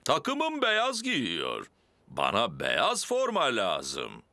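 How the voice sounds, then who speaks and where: Very animated, jolly pig dad. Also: male voice, lowish voice, drawn-out words, dry recording, heavily acted. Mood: protesting. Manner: jovial, emphatic. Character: jolly, blustery pig father. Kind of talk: children's cartoon dub.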